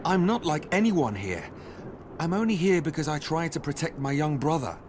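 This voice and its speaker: An actor playing a guarded, earnest young recruit in a fantasy video game. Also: male voice, a little high, lively intonation, normal speed, background noise, natural voice. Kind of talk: video game cutscene acting.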